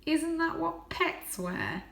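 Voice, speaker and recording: A woman acts out a tough female street cat from a children's story. She is scornful and blunt, quizzing and dismissing whoever she talks to. The room gives the voice a clear echo. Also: high voice, wildly swooping pitch, takes it slowly, a bit bright, voice pushed up, exaggerated voice. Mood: realising, dismissive. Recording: roomy, echoing indoor sound.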